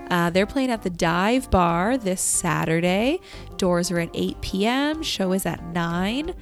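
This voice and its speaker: A woman co-hosting a local radio show, chatting casually and enthusiastically through event shout-outs. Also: lowish voice, expressive, slow pace, faint music behind. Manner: upbeat, enthusiastic.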